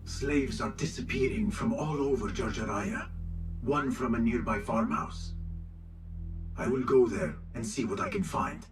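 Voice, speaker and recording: Earnest young assassin from a video game, measured n serious, music under it. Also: male voice, medium pitch, huge pitch swings. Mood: grave. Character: earnest, principled young male assassin of a secret order. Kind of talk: video game cutscene acting.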